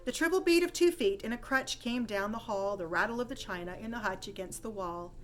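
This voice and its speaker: A woman reading a rhyming holiday story poem aloud with expressive, dramatic storytelling emphasis. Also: medium pitch, a little fast, slight background noise. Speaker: poem reader.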